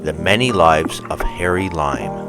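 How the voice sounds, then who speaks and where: A man's voice: extremely low pitch, expressive, unhurried. A man hosting a radio show, giving a scripted introduction with music behind him. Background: loud music.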